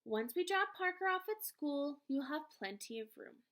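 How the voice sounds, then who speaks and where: A woman's voice: high voice, lively intonation, moderate pace, fairly bright tone, pitched above the performer's own voice. A woman voicing an inquiring, reassuring mother in a children's novel, with a coaxing, questioning manner. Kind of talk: children's novel read-aloud.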